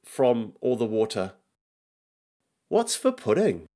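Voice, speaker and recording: A man's voice: mid-range voice, huge pitch swings, takes it slowly, over loud music, voice pushed up. A man voicing a fussy, suspicious child who whines and questions warily in a comic story. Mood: evasive, pleading. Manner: whiny, wary questioning. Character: fussy, suspicious child refusing to eat dinner. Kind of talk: acted comic list in a personal story podcast.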